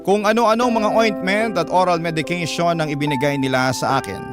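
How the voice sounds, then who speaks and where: A man's voice: fairly high pitch, fairly even intonation, a little fast, close to an everyday voice. A man with a Filipino accent narrating a suffering letter writer's first-person account as scripted radio drama. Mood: somber. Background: loud background music.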